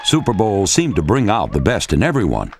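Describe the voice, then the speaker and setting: A man delivering scripted narration for a sports highlights film as the narrator. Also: huge pitch swings, brisk, over a noisy crowd, very noisy. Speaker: documentary narrator.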